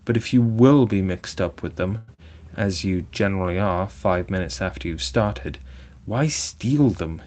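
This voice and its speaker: A man's voice: low voice, a little mellow. A man doing a stern, sensible water rat. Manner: earnest scolding.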